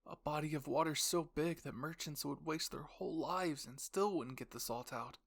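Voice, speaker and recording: A man voicing a gentle, earnest teenage boy soldier with big dreams in an anime fan story. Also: on the high side, moderate pace, vocal fry, voice pushed up. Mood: wistful, dreamy.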